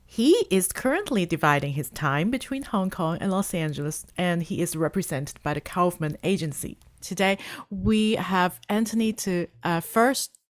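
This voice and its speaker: A woman's voice: fairly low pitch, expressive, moderate pace, hardly any pauses, no room sound, clean studio sound. A woman hosting a conversational interview in a polite, attentive manner. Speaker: interview host.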